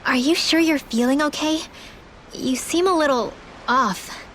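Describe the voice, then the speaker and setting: A woman's voice: sits high, unhurried, a bit bright, slight background noise. A woman playing an earnest, chatty teenage girl companion in a fantasy game, casual and concerned. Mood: concerned, relieved. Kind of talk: video game voice acting.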